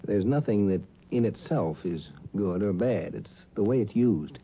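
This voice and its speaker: An actor playing a grave, principled man making a measured, earnest speech at a trial in a 1950s radio drama. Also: fairly low pitch, animated, a little hiss or hum. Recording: tinny 1950s radio recording.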